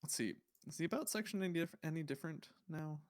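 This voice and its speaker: A man's voice: a little high, fast. A man hosting a livestream, chatting casually and rambling as he thinks aloud in a puzzled, musing way while browsing. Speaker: livestream host.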